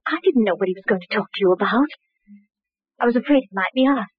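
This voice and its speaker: An actress playing a cool, haughty young widow in the style of 1940s radio crime drama. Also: female voice, mid-range voice, expressive, fast, husky, no room sound. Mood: urgent, tense. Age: young adult. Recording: dry, tinny old radio recording.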